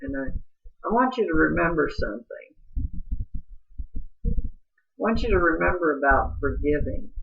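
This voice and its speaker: A middle-aged woman with a low, rough-sounding voice, telling her life story in an earnest, confiding way and speaking straight to the listener. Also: animated, a little slow, long pauses, a little hiss or hum. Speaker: vlogger. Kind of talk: personal life story monologue. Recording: tinny phone-like audio.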